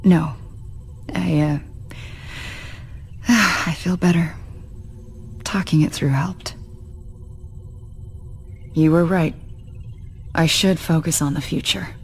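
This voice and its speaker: A woman's voice: deep voice, steady tone, slow, long pauses, soft background music. An actress voicing a stern green-skinned female warrior and former assassin, terse and guarded. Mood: dry. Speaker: game character.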